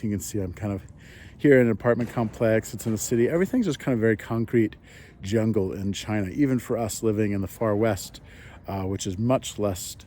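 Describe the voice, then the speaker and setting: A man speaks with a fairly low, mellow voice and an easygoing delivery. He talks to camera from his apartment, with faint noise in the background. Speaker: parent interviewee. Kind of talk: casual talk to camera. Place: apartment in a city.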